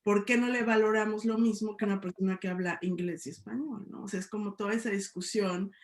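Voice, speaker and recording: Woman with a Mexican accent, middle-aged professor, reflective and hedging, mid-pitched, echoey room. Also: fairly even intonation, fairly quick. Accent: Mexican Spanish. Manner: thoughtful, many hedges and tag questions. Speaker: sociology professor, guest. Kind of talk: reflective debate talk.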